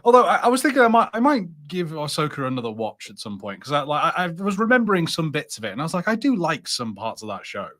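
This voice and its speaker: A man with a slightly high voice talks as a podcast co-host. He chats casually through a review, musing in a conversational, slightly hesitant way. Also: very animated, quick pace, hardly any pauses, fairly bright tone.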